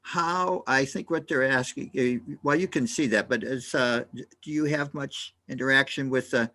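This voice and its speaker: A man hosts and moderates a talk, feeling his way through sentences in a halting, hesitant manner. His voice sits at an ordinary middle pitch. The recording is dry, with no room sound. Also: pushed and bright, no echo. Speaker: host.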